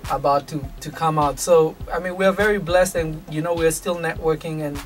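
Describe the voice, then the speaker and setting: Higher-voiced man from Kenya, slightly distant. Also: fairly even intonation, brisk. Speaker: music producer and songwriter, interview guest. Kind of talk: casual interview talk. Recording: slightly distant mic, music behind. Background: music.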